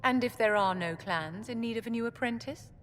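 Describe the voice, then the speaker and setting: An actress playing a haughty, elegant court mage in a video game, measured and condescending. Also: female voice, fairly high pitch, fairly bright tone, natural voice. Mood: pointed, superior. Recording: reverberant hall, faint background noise.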